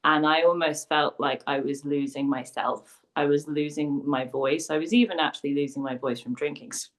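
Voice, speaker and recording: A woman with a low voice tells her story softly and thoughtfully. She talks over a video call as co-host of a live online class. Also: steady tone, moderate pace. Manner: soft, reflective, with small laughs. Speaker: sound healer and co-host.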